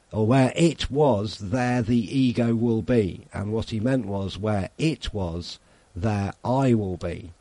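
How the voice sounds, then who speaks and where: A man's voice: lowish voice, mellow, no echo. A man talking casually, explaining ideas thoughtfully and checking for agreement. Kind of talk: casual podcast conversation.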